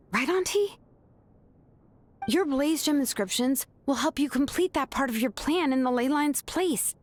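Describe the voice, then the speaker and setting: An actress playing a sharp, laid-back young woman who calmly reasons through a scheme in a video game. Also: high-pitched. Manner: calm, knowing, casually reasoning. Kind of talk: video game dub acting. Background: game music.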